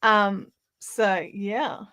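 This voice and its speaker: A woman hosting a casual craft livestream, chatting in a rambling way with lots of fillers and restarts, her throat slightly raspy. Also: mid-range voice, fairly even intonation, very slow, pushed and bright. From Australia. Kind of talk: casual live chat while spinning. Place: home craft room.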